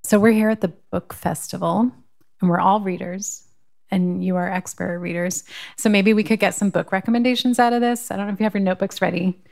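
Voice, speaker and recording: A woman moderates a book panel on a festival stage, asking easy, conversational questions. Her voice has a gravelly, creaky edge at a middle pitch. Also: steady tone, fairly quick, a little mellow. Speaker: podcast host and panel moderator.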